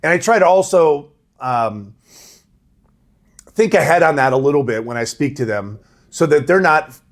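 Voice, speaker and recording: A man's voice: a little high, lively intonation, normal speed. Big voiced middle aged coach guy, chatty, laughs easy, lots of you know and right. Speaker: rowing coach. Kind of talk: casual interview answers.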